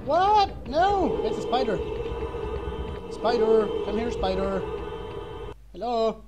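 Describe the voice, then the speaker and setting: A man's voice: very high voice, very animated, takes it slowly. A young man giving casual gameplay commentary as a let's play host, with muttered asides and joking reactions. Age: young adult. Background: loud game music.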